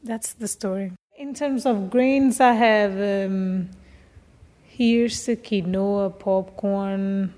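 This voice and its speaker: A young woman from Portugal with a Portuguese accent, a native Portuguese speaker telling her story in an interview, often pausing to search for words in English. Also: medium pitch, fairly even intonation, a little mellow. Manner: hesitant, searching for words in second-language English. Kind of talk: casual personal storytelling in an interview.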